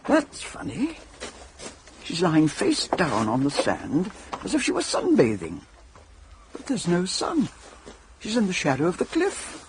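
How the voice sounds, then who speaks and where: An actress playing a brisk, opinionated, practical English woman in a radio drama, with a no-nonsense manner. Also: on the low side, huge pitch swings, background noise. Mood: curious.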